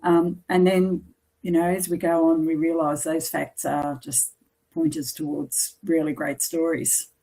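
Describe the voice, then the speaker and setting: A woman hosting a casual interview, affirming her guest often with quick yeahs. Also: deep voice, flat delivery, rough recording. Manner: warm affirming, frequent yeahs. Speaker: podcast host.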